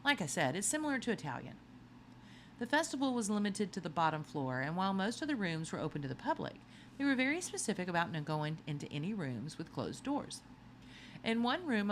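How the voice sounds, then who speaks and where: A woman with a low voice narrates a children's novel. Her storytelling is expressive and swings widely in pitch. A little noise sits behind the recording. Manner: expressive storytelling with acted dialogue. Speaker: audiobook reader. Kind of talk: scripted narration of a children's novel, read aloud.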